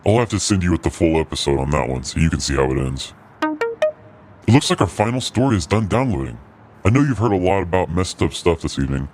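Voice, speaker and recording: A man's voice: deep voice, a little mellow. A man voicing a holiday cryptid who acts as a podcast guide, in scripted hosting narration. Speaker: host.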